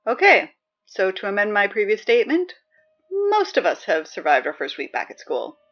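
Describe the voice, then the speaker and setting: A woman playing a weary, sardonic high school morning announcer in a scripted audio drama. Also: normal speed, muffled recording, faint music behind. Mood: wry, reluctant. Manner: sardonic, deadpan, exasperated asides.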